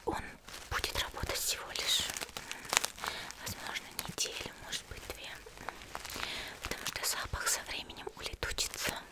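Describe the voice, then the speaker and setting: A woman speaks entirely in a soft, unvoiced whisper. She plays a caring young healer in an ASMR roleplay, addressing the listener directly. Her delivery is gentle, soothing and reassuring. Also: moderate pace, long pauses. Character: gentle caring young female demon-slayer healer tending a patient.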